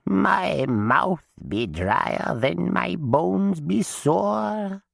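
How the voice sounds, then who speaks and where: A man voicing a chained, dead old witch woman who croaks in a quivering voice full of pain. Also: high voice, expressive, extremely slow, no room sound, studio quality, voice pushed up, exaggerated voice. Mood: begging.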